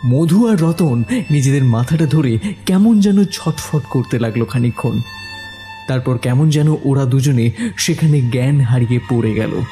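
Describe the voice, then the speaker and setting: Male narrator, scripted horror storytelling, warm dark tone, mid pitch, soft music bed.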